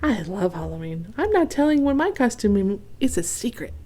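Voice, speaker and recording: A woman's voice: mid-range voice, sing-song, moderate pace, warm tone. A woman voicing a mischievous young female duck in a children's storybook reading, teasing and sing-song.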